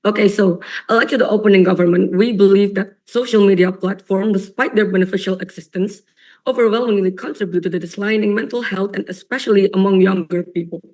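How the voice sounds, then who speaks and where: A young woman delivers a competitive debate speech, her voice raspy from a coughing fit. She argues earnestly and emphatically, circling back and repeating phrases for stress. Her pitch sits in an ordinary middle range. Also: fairly even intonation, fairly quick, darkish tone, poor audio. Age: young adult. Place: online or stage debate venue.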